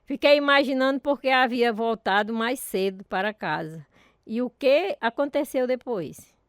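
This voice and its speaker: Actress as an earnest high-voiced country mother. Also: normal speed, dry recording, studio quality, natural voice. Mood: polite, concerned. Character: devout, gentle country mother. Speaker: actor in a radio drama. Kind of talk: dramatised radio acting.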